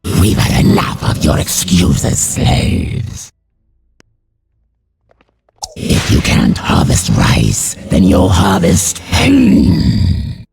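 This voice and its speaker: Monstrous overseer for a game: deep, menacing, extremely hoarse growl, dragged out slowly. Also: male voice, wildly swooping pitch, darkish tone, faint music behind, full character voice. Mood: cruel threat. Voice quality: very raspy growl. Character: brutal, menacing monstrous male slave driver. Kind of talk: video game voice acting.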